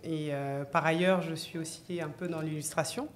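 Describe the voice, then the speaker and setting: A woman whose first language is Soninke talks in a podcast round table with a low, deep voice. She speaks softly and hesitantly, full of pauses. A faint hiss sits under her voice. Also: steady tone. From France. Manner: hesitant, soft-spoken, many fillers. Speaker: podcast guest. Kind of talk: casual round-table chat.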